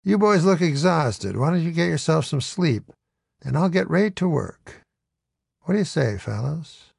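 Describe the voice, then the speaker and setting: A man's voice: on the high side, animated, measured pace, vocal fry, darkish tone, slightly rough, dry recording, studio quality, exaggerated voice. A man reading aloud as a pompous, genteel elderly male ghostwriter with fussy, formal diction. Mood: smooth, placating.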